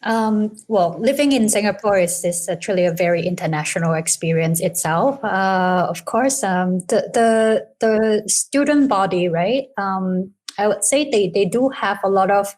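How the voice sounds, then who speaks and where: A woman from Hong Kong answering questions about her school's program over a video call, hesitant and filling pauses often. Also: medium pitch, a little mellow. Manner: hesitant, many "um" and "you know". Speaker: school representative. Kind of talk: casual webinar answers.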